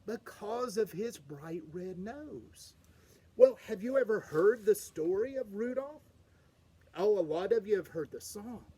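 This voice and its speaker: Playful guy reading a kids story, high voice, slow and bouncy. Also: lively intonation, mellow, slightly rough. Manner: playful, talks to the audience. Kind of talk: animated children's book read-aloud.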